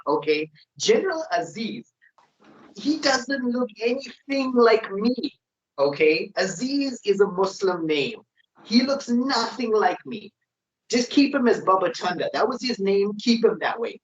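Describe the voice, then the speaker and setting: A man's voice: sits high, very animated, unhurried. A man co-hosting a wrestling predictions podcast in casual, opinionated chat. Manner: opinionated, ranting. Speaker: podcast co-host. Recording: dull lo-fi sound.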